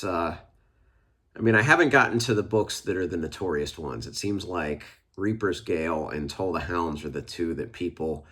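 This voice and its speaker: Low-voiced man, stuffy from a cold. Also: fairly bright tone. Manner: rambling, thinking aloud. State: congested from a cold. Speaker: book vlogger. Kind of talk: casual reading update.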